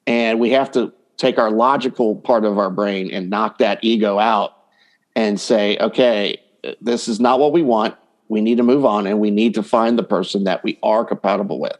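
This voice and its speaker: A man's voice: on the low side, steady tone, moderate pace. A man speaking as a dating coach guest in a conversational podcast interview, explaining points by voicing hypothetical quotes.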